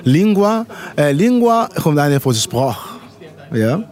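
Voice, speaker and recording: Male musician, middle-aged, Congo-born, native Lingala, relaxed dark timbre, interviewed at an open-air festival. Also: a little high. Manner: unhurried, searching for words. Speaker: musician guest. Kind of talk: casual interview.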